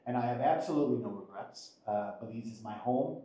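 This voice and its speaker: A middle-aged American man giving measured, explanatory interview answers as an attorney, pausing between phrases. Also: mid-range voice, warm tone. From Buffalo, western New York, United States. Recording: very echoey room.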